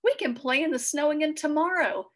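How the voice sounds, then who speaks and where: A woman's voice: sits high, fairly quick, voice pushed up, close to an everyday voice. A woman voicing a cheerful, caring little girl in a children's storybook, eager and full of exclamations. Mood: excited. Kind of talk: children's storybook read-aloud.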